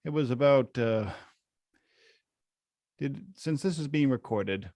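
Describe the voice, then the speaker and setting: A man's voice: medium pitch, slow, warm tone, no echo, clean studio sound. Hesitant man with mid-range voice. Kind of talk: casual questions in a group chat.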